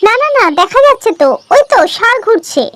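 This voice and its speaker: A woman's voice: very high voice, very animated, fast, a bit bright, heavily acted. A woman voicing a polite, earnest young village bride in a cartoon. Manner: respectful, earnest. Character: polite, eager young village daughters-in-law, simple and earnest.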